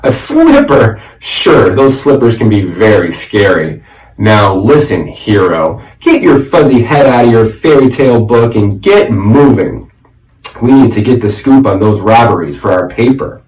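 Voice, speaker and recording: A bossy old grandfather mouse, played by a man reading a storybook, barks scornful orders and boasts. The pitch leaps about in impatient screeches, and the voice rings in a roomy space. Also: very animated, a little slow, a little mellow, far from the mic. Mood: scornful, commanding.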